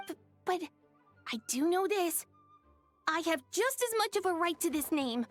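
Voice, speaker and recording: A small young dragon with a very high, soft, boyish voice. He is shy and hesitant yet earnest and determined, a gentle game character speaking over faint music. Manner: hesitant, sincere. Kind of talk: video game voice acting.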